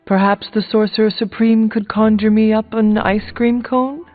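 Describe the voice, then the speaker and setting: A woman playing a supernatural warrior hero in a video game, speaking formally and deliberately. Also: fairly high pitch, fairly even intonation, close to an everyday voice. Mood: teasing. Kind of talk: scripted video game dialogue. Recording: muffled phone-like band, music behind.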